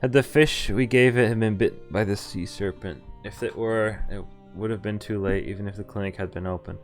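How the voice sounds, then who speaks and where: A man with a fairly low voice streams a visual novel, reading its text aloud. He drops in casual, profanity-laced comments. Music plays softly behind him. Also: fairly even intonation, brisk. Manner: swears freely.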